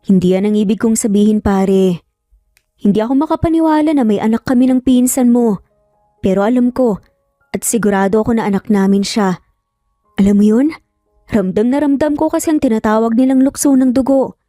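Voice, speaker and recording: A woman's voice: on the high side, fairly quick, pauses often, warm tone, no room sound, clean studio sound, faint music behind, natural voice. A woman voicing an earnest, emphatic young father in a narrated romance story, torn over his child. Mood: earnest, amazed. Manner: earnest, emphatic, code-switching.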